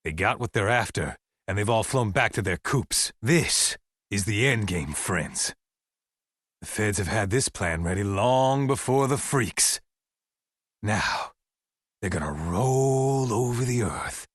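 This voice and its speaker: An actor playing a paranoid, defiant American militia leader, middle-aged and folksy yet menacing, preaching in an emphatic dramatic radio monologue for a video game. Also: male voice, fairly low pitch, expressive, fairly bright tone, dry recording, clean studio sound, full character voice. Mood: ominous. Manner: preachy, emphatic, deliberate pauses. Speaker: militia leader broadcasting to survivors.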